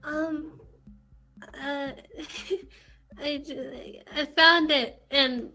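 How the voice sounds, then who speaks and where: A woman's voice: high voice, fairly even intonation, very slow, sharp tone, low-quality recording. A woman guest chatting casually in short, hesitant answers, with music behind her. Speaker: guest voice actor. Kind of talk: casual chat. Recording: background music.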